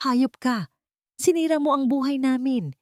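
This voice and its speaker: A woman narrator puts on an enraged aunt in a melodrama. Her voice jumps high and accusing, recorded close and dry. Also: measured pace, a little mellow, dry recording. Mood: furious. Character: furious, shrill accusing aunt.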